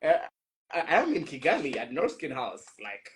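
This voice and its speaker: A man's voice: medium pitch, very animated, moderate pace, slight background noise. A man hosting a casual online chat, speaking informally with playful, teasing banter. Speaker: host of an online panel chat.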